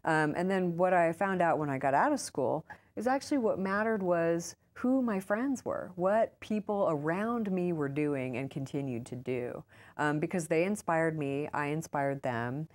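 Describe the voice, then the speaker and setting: A woman's voice: sits low, moderate pace, a little mellow. An American woman giving casual, conversational interview answers in an informal, chatty way, often saying "like" and "you know". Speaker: interview guest, author and urban farmer.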